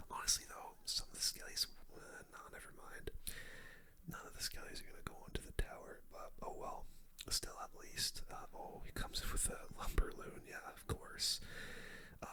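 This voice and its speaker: A game streamer whispering casual, slangy commentary in an ASMR style. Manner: whispering throughout; casual, slangy gamer talk. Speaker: gaming video host.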